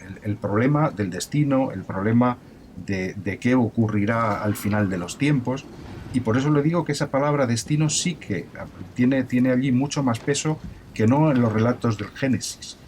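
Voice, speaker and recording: A man's voice: mid-range voice, moderate pace, darkish tone, slight background noise, close to an everyday voice. A man playing an amiable professor of ancient languages, explaining in an emphatic, didactic and formal way, with many restarts, as in a recorded interview. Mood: explaining, certain. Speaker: university professor of biblical languages.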